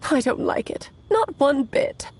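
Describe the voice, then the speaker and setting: A woman's voice: high-pitched, very animated, a little slow, a little mellow. A woman voicing a playful, theatrical witch in a video game, teasing and amused.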